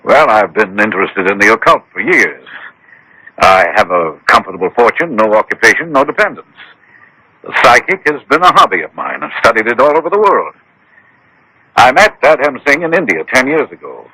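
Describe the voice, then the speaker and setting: A man's voice: mid-range voice, sing-song, moderate pace, bright, forceful tone, breathy edge, no room sound, heavily acted, poor audio. An actor playing a wealthy, anxious, defensive man obsessed with the occult, insistent and dramatic, in radio drama style. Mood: earnest. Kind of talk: 1980s radio drama acting. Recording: old radio drama broadcast.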